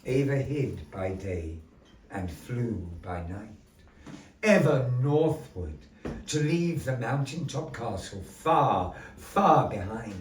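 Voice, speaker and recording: Slow, theatrical male storyteller in an echoey gallery. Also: medium pitch, recorded from a distance. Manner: slow, theatrical storyteller with lively rises and falls. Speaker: puppet show narrator. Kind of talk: storytelling narration to a live audience. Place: gallery space. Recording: echoey gallery room, voice from afar, faint noise.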